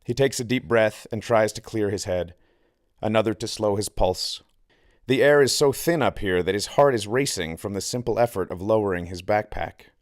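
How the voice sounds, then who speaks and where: A man reading a novel aloud as scripted audiobook narration, in a measured, deliberate manner with dramatic pauses. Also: fairly low pitch, no echo, clean studio sound. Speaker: author reading own novel.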